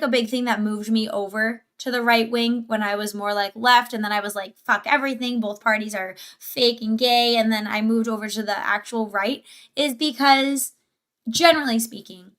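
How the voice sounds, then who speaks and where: A woman's voice: fairly high pitch, pushed and bright. A woman hosting a livestream, giving casual, opinionated reaction commentary in an emphatic way with rhetorical questions. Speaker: livestream host.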